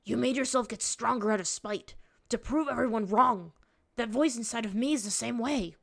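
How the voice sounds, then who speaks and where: A woman voicing an earnest, vulnerable young man in a fantasy story, apologetic yet stubborn, with halting pleas. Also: fairly high pitch, vocal fry, pitched above the performer's own voice. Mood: strained, insistent.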